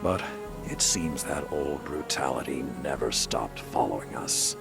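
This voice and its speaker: A battle-hardened old game commander with an extremely low, gravel-edged voice. He is stern and solemn, weighing every word at a slow, measured pace. Music plays behind him. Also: animated, exaggerated voice. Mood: fierce, grim. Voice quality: deep, gravelly. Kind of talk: dramatic video game dialogue.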